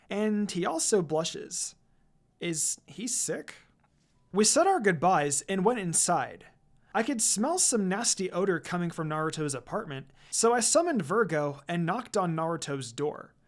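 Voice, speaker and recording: A woman reading a first-person fan fiction story aloud in a casual, expressive, dramatized way. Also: fairly low pitch.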